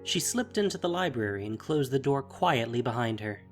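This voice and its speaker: A man's voice: mid-range voice, animated, normal speed, faint music behind. A man narrating a fantasy story as scripted dramatic prose.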